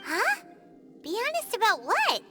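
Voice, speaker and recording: A woman voicing a tiny, chirpy, cheeky childlike fairy companion in a video game, with a squeaky voice. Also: huge pitch swings, measured pace, exaggerated voice. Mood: puzzled. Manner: refers to self in third person, exclamatory. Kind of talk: video game character acting. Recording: loud music behind the voice.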